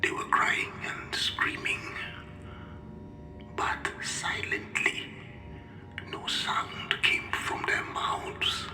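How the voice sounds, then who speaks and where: A man playing a haunted, troubled character recording a game audio log in a halting, breathy whisper. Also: echoey room, music in the background. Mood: haunted, guilty. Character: haunted man recording memory of his nightmare.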